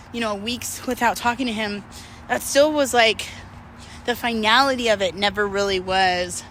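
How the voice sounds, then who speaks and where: Bright-voiced woman, candid rambling confessional vlog. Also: mid-range voice, slight background noise, poor audio. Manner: rambling, candid, self-deprecating, swears freely. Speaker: vlogger. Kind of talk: casual confessional chat to camera.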